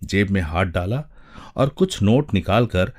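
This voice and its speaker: A man giving a dramatic, measured narration of a short story, with deliberate pauses. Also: low-pitched, lively intonation, a little mellow. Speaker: voice-over narrator.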